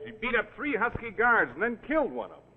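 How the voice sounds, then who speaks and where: An actor playing a brusque, curt state cop in a 1940s suspense television drama. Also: male voice, very high-pitched, wildly swooping pitch, fast, heavily acted. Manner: curt, folksy. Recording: muffled vintage TV soundtrack, very loud music.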